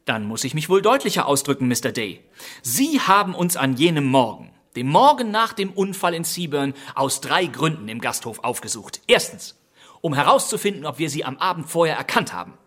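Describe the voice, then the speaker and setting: A man playing a suave detective in a radio crime drama, speaking in a deliberate, theatrical and pointed way. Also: a little high, very animated, fairly bright tone. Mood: pointed, smug. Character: suave male detective exposing the culprit.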